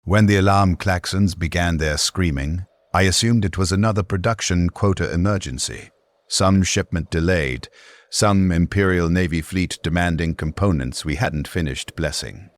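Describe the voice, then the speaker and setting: A man's voice: deep voice, dry recording, clean studio sound. A man reading a cinematic first-person story in a grave, solemn manner as a narrator.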